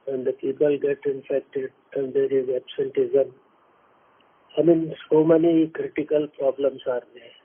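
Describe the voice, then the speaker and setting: A businessman with an Indian accent gives unscripted remarks in a husky voice that stays on a narrow, even pitch. His phrasing is deliberate and halting, with words repeated as he goes. The sound is band-limited, like a telephone conference line. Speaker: company executive. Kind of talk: unscripted earnings call remarks. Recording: narrow tinny phone-line audio, muffled.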